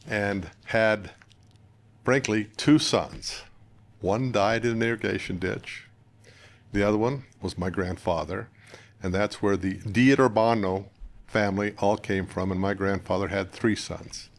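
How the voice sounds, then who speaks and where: An older American man from northern Utah, a guest candidate giving plain-spoken, conversational interview answers in measured phrases. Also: lowish voice. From northern Utah, United States. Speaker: political candidate, podcast guest.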